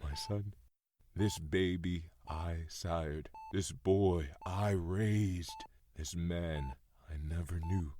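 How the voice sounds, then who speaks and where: A male actor playing a grief-broken older imprisoned father in an audio drama, halting, anguished and pleading. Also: sits low, warm tone, a little hiss or hum. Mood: grieving, prayerful.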